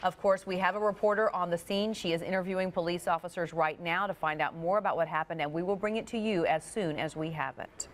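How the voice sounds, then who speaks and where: Measured woman anchoring local news. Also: on the low side, slight background noise. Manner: steady, measured delivery of breaking news. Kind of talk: live local newscast reading.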